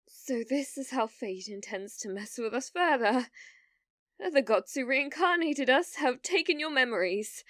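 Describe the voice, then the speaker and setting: A woman performs a delusional young woman who believes she is a demon lord bound to her beloved. Her high voice moves slowly, with theatrical, formal phrasing and obsessive devotion. It is an ASMR roleplay, recorded close and dry. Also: expressive, a bit bright, dry recording. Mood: hurt, resolute.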